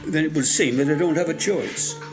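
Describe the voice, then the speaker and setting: A man's voice: a little high, brisk, over music, lightly acted. A man playing a grumpy, pedantic old café regular in an audio drama, with a curt, stern, formal way of talking. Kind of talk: amateur audio drama acting.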